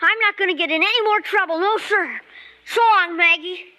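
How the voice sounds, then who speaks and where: Very high, brash young boy. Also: fairly quick, loud music behind the voice. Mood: defiant, grumbling. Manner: slangy, brash, defiant. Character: scrappy, scared, grumbling young boy. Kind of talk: old-time radio drama acting. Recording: vintage radio recording.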